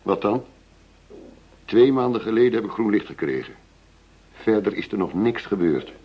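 An actor playing a middle-aged police chief going undercover in a radio play, persuasive and matter-of-fact. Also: male voice, on the low side, lively intonation, normal speed, husky. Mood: businesslike, resolute. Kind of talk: Dutch radio play acting. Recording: dull lo-fi radio play recording.

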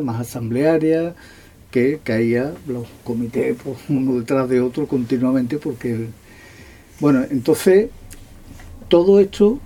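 A man's voice: a little high, dark tone. A man talking off the cuff at a public discussion, in long trailing clauses with tag questions. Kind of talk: unscripted discussion at a public talk.

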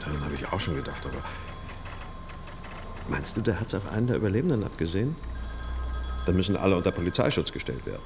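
A brooding, intuitive cop with a very low voice that moves animatedly. He reasons aloud in questions, radio-drama style, over clear traffic, siren and phone noise. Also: sing-song, fast, long pauses, full character voice. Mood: speculating. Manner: questioning, insistent, thinking aloud. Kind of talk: naturalistic German radio crime drama acting.

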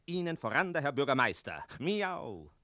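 An actor in a radio play voicing a bold, gossipy talking tomcat with a meowing, drawn-out delivery. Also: male voice, medium pitch, very animated, pushed and bright, no echo, studio quality. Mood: proud announcing. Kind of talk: German radio play acting.

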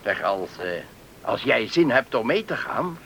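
An actor playing a helpful, talkative settlement official who politely explains things to newcomers in a Dutch radio play. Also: male voice, mid-range voice, big pitch swings, vocal fry, pushed and bright, full character voice. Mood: courteous. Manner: explanatory, polite, brisk guide. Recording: old radio play, faint background noise.

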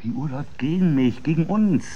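A man's voice: fairly high pitch, animated, a little fast, highs cut off. An actor in a German radio drama playing a cynical, scheming stepfather and failing businessman with a cutting, sardonic manner. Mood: cold, scheming.

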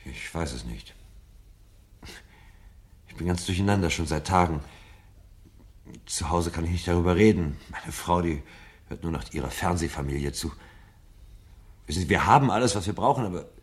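A man's voice: deep voice, animated, moderate pace, pauses often. An actor playing a troubled married man searching for answers in a German radio drama, hesitant and earnest in his delivery. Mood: confused, unhappy.

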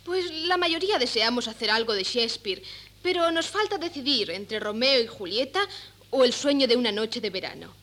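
A woman's voice: on the high side, animated, moderate pace, fairly bright tone. A woman playing a cheerful, well-mannered young woman in a radio play. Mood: light, amused. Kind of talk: 1970s Spanish radio theatre acting.

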